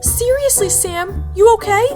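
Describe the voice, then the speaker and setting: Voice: very high voice, a little slow, music in the background. An actor voicing a chatty, cheeky magical talking sword in an audio play, with a bubbly, exclaiming manner. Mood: impressed, chatty.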